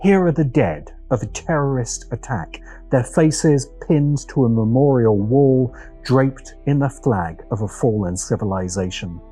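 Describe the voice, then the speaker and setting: Mellow, animated male video essay narrator. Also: mid-range voice, measured pace, slightly rough. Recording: music behind the voice. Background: music.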